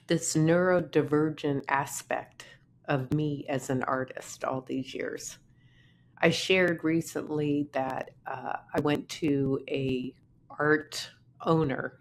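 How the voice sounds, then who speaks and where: Woman, low deep voice, slow musing delivery, apartment vlog, faint background hum. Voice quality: naturally deep voice. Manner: unhurried, musing, trailing off between phrases. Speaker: artist vlogger. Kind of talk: casual talking-to-camera vlog. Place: her apartment.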